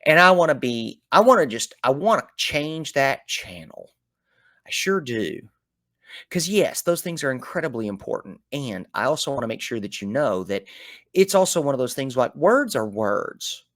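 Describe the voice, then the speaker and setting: A man with a Southern US accent talking through his thoughts in a reflective solo show, animated, with folksy turns of phrase and sudden loud bursts. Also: mid-range voice, moderate pace, no echo, studio quality. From American South. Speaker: podcast host.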